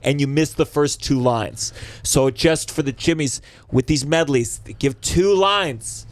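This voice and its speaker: A man hosting a podcast, chatting casually and comedically in an emphatic, animated way, with some swearing. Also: fairly high pitch, a little slow, dry recording.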